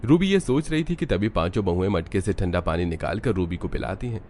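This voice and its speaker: Deep-voiced man narrating a cartoon story. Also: lively intonation, a little fast, darkish tone, slight background noise.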